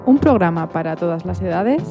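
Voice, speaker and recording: A woman's voice: fairly low pitch, animated, brisk, creaky, over music. A woman radio presenter reading scripted storytelling narration. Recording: dry, slightly dull recording.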